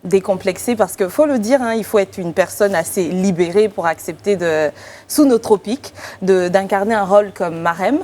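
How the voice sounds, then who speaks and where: A woman talk show panelist lays out long questions slowly, pausing often to find her words. Her voice is mid-pitched and plain. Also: a little mellow. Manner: deliberate, hesitant with fillers, long questions. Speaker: co-host panelist.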